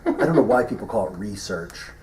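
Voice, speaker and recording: A man's voice: on the low side, lively intonation, brisk, darkish tone. A man co-hosting a podcast in casual chat, getting over the flu and sniffling, rambling and laughing at his own stories. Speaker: podcast co-host. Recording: voice a bit far from the mic, faint hiss.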